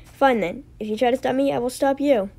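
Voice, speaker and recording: High voiced woman doing amateur voice acting for a cartoon story, trips on lines a bit. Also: fairly quick, mellow.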